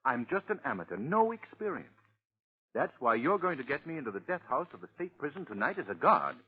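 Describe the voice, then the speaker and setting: An actor playing a suave, persistent amateur sleuth with a husky voice in an old radio drama. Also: male voice, mid-range voice, big pitch swings, brisk. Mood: urbane. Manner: urbane, coaxing. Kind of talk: 1940s radio drama acting. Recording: muffled old radio recording.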